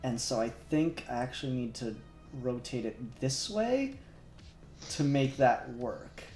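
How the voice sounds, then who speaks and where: Male streamer, unhurried, thinks aloud while he works; echoey room, faint music under. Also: mid-range voice, expressive, a little mellow. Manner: self-conscious, muttering to himself as he works. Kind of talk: casual live-stream talk while 3D modelling.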